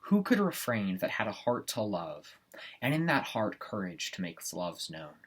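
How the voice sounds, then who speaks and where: Casting: male performer as an ambitious, haunted Shakespearean noble, very deep and rough-edged, weighty theatrical delivery for a stage monologue. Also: big pitch swings. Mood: dark, grim. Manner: theatrical, deliberate Shakespearean soliloquy with weighted pauses.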